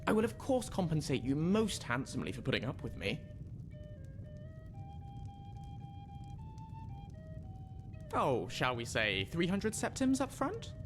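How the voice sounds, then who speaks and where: A man's voice: a little high, wildly swooping pitch, normal speed. A man playing an eager, bookish young scholar in a video game, polite, wordy and well-spoken, with a self-deprecating manner. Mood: sheepish, courteous. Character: eager, bookish young male scholar adventurer from a fantasy empire. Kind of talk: video game character dialogue. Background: music.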